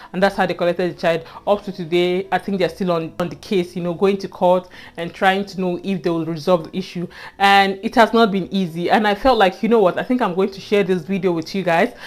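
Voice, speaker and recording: A young Nigerian woman with a Nigerian accent, earnestly telling a personal story to her viewers and often repeating herself and adding "you know". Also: medium pitch. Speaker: vlogger. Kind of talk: casual storytelling to camera.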